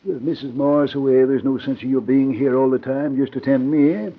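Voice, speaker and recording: A man's voice: mid-range voice, fairly even intonation, brisk, natural voice. A man playing a respectable businessman who is secretly a crime boss, formal and curt in 1940s radio style. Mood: brisk, polite. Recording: muffled old radio drama recording.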